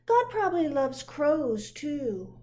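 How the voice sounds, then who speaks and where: A woman's voice: sits high, big pitch swings, takes it slowly, dark tone, pitched above the performer's own voice, full character voice. A woman voicing a curious, earnest little girl full of questions in a children's storybook. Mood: curious, wondering. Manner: inquisitive, childlike wonder. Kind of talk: children's picture book read aloud.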